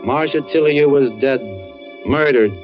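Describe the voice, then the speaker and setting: An actor with an American accent playing a grim, world-weary narrator of a radio murder story, in deliberate, hard-boiled phrasing. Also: male voice, fairly high pitch, lightly acted. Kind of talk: 1940s-50s American radio suspense narration. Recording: muffled old radio recording, loud music behind.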